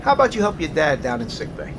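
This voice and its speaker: An actor playing a gruff, steady submarine captain in an audio drama, giving clipped orders. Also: mid-range voice, fast, background noise, natural voice. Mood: reassuring. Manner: clipped barked orders, reassuring. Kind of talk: full-cast audio drama acting. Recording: loud music bed behind.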